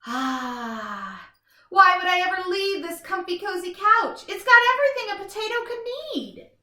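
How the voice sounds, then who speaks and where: A woman acts out a comfort-loving couch potato from a picture book, very pleased with himself. The voice is high and bright, leaping about in theatrical exclamations. It sounds in an echoey room. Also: expressive, normal speed, heavily acted. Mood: smug, content. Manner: exclamatory, theatrical, self-satisfied. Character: comfort-loving, boastful, easily thrilled male couch potato. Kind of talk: first-person children's storybook read-aloud.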